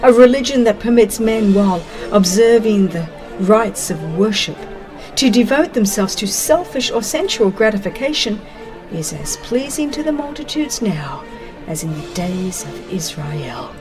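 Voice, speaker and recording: A woman narrates scripture history in a solemn, expressive audiobook style. Her pace is slow and deliberate, at a middle pitch, with music clearly playing behind her. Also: crowd noise, low-quality recording. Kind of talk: dramatized scripted religious narration.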